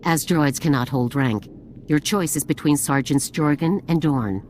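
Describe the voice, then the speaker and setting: Casting: stern female general, low voice, clipped commanding orders, game cutscene with background noise. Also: measured pace, darkish tone. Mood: stern, businesslike. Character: stern older female military general, authoritative and brisk. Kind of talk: scripted video game acting.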